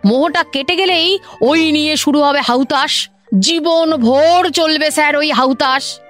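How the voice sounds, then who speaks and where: High-pitched, garrulous, aggrieved officer. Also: female voice, a little slow, fairly bright tone, soft background music. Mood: chatty, indignant. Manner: gushing, complaining, repeats herself, deferential "sir". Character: garrulous, aggrieved woman government officer, dramatically self-pitying. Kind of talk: Bengali comic radio drama acting.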